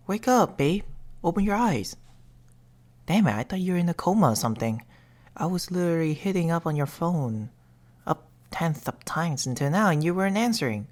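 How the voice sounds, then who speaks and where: A woman playing a caring, exasperated romantic partner in a casual ASMR roleplay, talking with fussing concern into a close binaural microphone. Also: on the low side.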